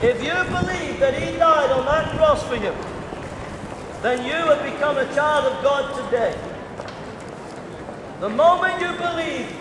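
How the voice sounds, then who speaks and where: A middle-aged man with a very high voice preaches at an unhurried, deliberate pace, hammering home repeated phrases. He is caught by a camera some way off on an open shopping street. The sound is roomy, with a long echo off the buildings, and town noise and traffic are clear behind him. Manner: slow, repetitive, emphatic preaching cadence. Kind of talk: open-air street sermon. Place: pedestrian shopping street outdoors. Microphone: far camera. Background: town street ambience and passing traffic.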